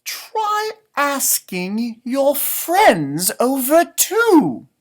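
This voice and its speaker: A man voicing a wise old storybook owl who gives advice in slow, drawn-out, deliberate, hooting phrases. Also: very high voice, huge pitch swings, pitched above the performer's own voice, exaggerated voice. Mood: pondering.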